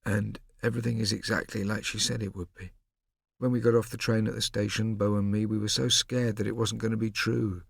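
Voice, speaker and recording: A man's voice: lowish voice, flat delivery, fairly quick, creaky, husky, dry recording, clean studio sound. A man voicing a proud, protective twelve-year-old boy who speaks earnestly and defensively.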